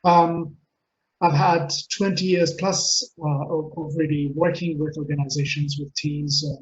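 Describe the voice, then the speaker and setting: A middle-aged man from Israel with a mixed Israeli accent, presenting an earnest webinar talk full of hesitations and fillers. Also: a little high. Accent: Israeli, mixed with Texan, Scottish and London. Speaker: webinar speaker and coach. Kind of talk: presenting a pre-recorded webinar. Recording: dull lo-fi webinar audio, faint hiss.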